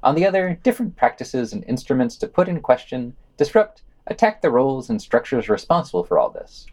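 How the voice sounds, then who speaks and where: Male podcast news reader, mid-pitched, lively varied delivery, scripted with brief asides. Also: fairly quick.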